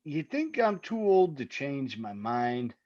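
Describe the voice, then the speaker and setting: A man playing a gruff, slangy, grudging skeptic in a 1950s radio comedy style. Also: medium pitch, sing-song, takes it slowly, a little mellow, muffled recording. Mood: sheepish. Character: gruff, skeptical grown man who doubts Santa.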